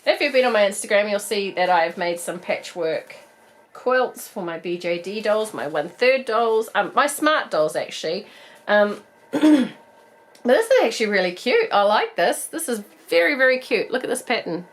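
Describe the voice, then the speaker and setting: A woman in her thirties with a New Zealand accent, croaky from a cold, chatting bubbly through a casual doll unboxing review. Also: medium pitch, very animated, normal speed. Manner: chatty, bubbly, laughs often. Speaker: doll collector vlogger.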